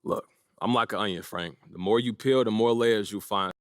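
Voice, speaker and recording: A man's voice: on the low side, normal speed, dry recording, studio quality, natural voice. A young man playing a cocky rookie basketball star in a game's interview scenes, casual and self-assured. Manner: self-assured, laid-back. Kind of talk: casual press interview acting.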